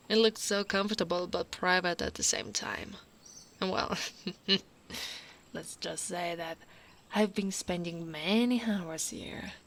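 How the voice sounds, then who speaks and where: An Italian woman playing a tall, devoted female orc royal guard in an ASMR roleplay, speaking softly and intimately as if to the one listener she loves. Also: fairly low pitch, a little hiss or hum. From Italy. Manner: slow, intimate, often dropping to a whisper.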